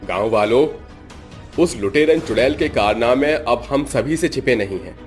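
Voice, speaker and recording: A man's voice: medium pitch, expressive, moderate pace, soft background music, voice pushed up, lightly acted. A man voicing a village headman addressing the villagers in a cartoon story, solemn and formal.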